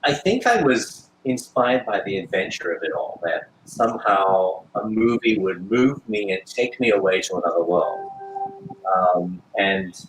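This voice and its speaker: A man in his forties speaks in a low, unhurried voice, pausing and restarting as he thinks. The room around him rings with echo. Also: animated, slight background noise. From Australia. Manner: thoughtful, hesitant, many fillers and restarts. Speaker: guest actor and filmmaker. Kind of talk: casual interview answers.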